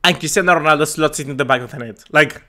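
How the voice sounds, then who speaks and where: A man's voice: fairly high pitch, fast, bright, forceful tone. A young man from Peru hosting a live football reaction, talking passionately and with exasperation, rambling and restarting his sentences. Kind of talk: live match reaction chat.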